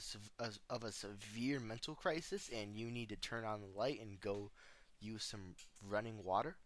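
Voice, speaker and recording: A man's voice: on the low side, sharp tone. A man giving casual, joking video commentary in a sarcastic, flippant, slangy way, full of offhand asides. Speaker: video host reacting to analog horror.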